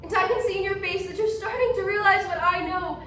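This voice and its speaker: A woman's voice: very high-pitched, brisk, bright, forceful tone. A woman playing a mysterious accused witch in a theatrical readers theatre performance. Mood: eerie coaxing. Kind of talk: readers theatre stage acting. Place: large echoey indoor room, distant mic. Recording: echoey hall from far off, faint noise.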